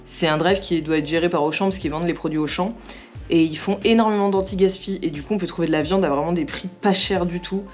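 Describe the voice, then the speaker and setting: A hoarse young woman from France chatting casually in a home vlog, with many fillers and little laughs. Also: fairly low pitch, quick pace, a little mellow, faint music behind. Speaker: vlogger. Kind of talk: casual daily vlog chat to camera.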